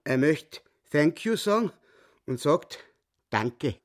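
An actor playing a boastful little hustler in a radio play, self-important and excitable, with a Viennese accent. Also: male voice, on the high side, slow, no room sound, clean studio sound. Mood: smug. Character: cocky little hustler, boastful would-be world champion.

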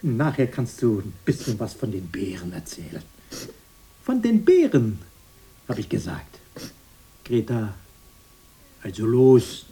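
An actor playing a bossy, bitter brother in a radio drama, husky, blustering and insistent in a mock-earnest way. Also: male voice, mid-range voice, big pitch swings, slow pace, long pauses, mellow, slight background noise. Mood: exasperated, commanding. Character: bossy, bitter brother recalling a poor childhood.

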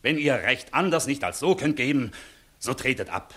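An actor playing a stern, dignified court inspector in a classical German radio play, formal and reproving. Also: male voice, medium pitch, animated, moderate pace, a bit bright, slightly rough.

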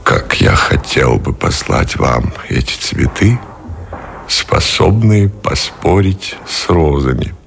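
Deep gravelly admiral in a radio play, slow n tender, courtly, voice rises and falls a lot. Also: male voice, big pitch swings, a little hiss or hum, exaggerated voice. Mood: wistful. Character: grave, devoted middle-aged Russian admiral in love. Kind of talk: Russian radio drama acting.

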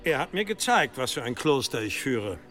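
Medieval abbot: authoritative, menacing, curt, expressive range, for radio drama. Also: male voice, medium pitch, normal speed, faint music behind. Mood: suspicious, accusing. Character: stern, commanding medieval abbot planning exorcism. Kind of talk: German audio drama acting.

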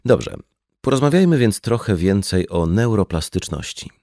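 A man reading an audiobook as scripted narration, in a measured, explanatory manner. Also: on the low side, lively intonation, creaky, warm tone, dry recording, clean studio sound. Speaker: audiobook reader.

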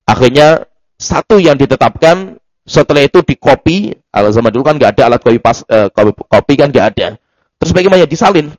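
A man's voice: mid-range voice, expressive, brisk, darkish tone, no room sound, rough recording. A man giving a loose religious study lecture, often restarting words and adding asides. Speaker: Islamic teacher.

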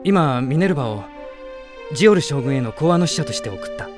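An actor playing a gentle, earnest young prince who speaks in a measured, soft-spoken way in a radio drama. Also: male voice, medium pitch, lively intonation, lightly acted. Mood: resolute. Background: music.

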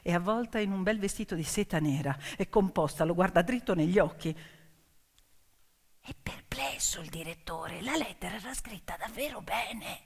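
A woman performing emphatic theatrical storytelling, with rhetorical questions and dramatic pauses. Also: lowish voice, lively intonation, vocal fry, breathy edge. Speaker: stage storyteller, actress. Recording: long hall reverb, music behind. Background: piano music.

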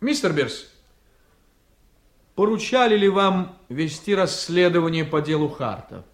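A man's voice: fairly high pitch, expressive, unhurried. A man acting an assertive district prosecutor in a radio play, formal and probing. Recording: echoey room.

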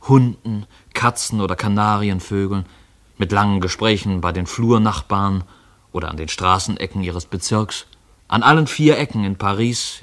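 A man narrating a literary radio drama as a storyteller, with measured, formal and expressive phrasing. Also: lowish voice, lightly acted.

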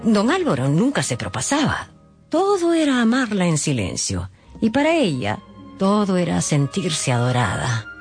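Woman narrator, low pitch, lively intonation, wry knowing storytelling, radio drama. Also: moderate pace. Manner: wry, knowing asides to listeners. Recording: faint music behind the voice.

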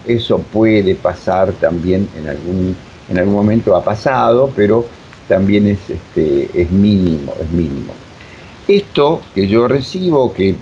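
An Argentine man answers interview questions in a low, dark voice. He explains things haltingly, repeating words. The recording is muffled with faint noise. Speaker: radio drama director being interviewed. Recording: dull lo-fi audio, faint hiss.

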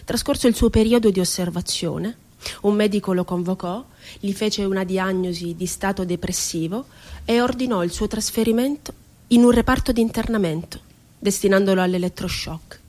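An actress playing a bitterly ironic psychiatric inmate in a radio theatre monologue, telling her story in a deliberate, dramatic way. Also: medium pitch, darkish tone, lightly acted. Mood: sad irony. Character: woman psychiatric inmate recounting asylum horrors, bitterly ironic. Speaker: stage actress.

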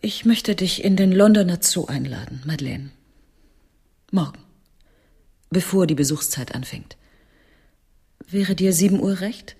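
A woman's voice: fairly low pitch, animated, unhurried, vocal fry, long pauses, dark tone, husky, heavily acted. An actress playing a composed chairwoman of an animal protection society in a radio play, courteous in a controlled, cool way. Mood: guarded courtesy. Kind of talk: radio play acting in dialogue.

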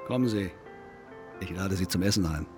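An actor in a radio play playing a self-absorbed congress guest who philosophizes in a pompous, long-winded, declamatory way. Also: male voice, low-pitched, moderate pace, soft background music, lightly acted. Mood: self-satisfied. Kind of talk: German radio play acting.

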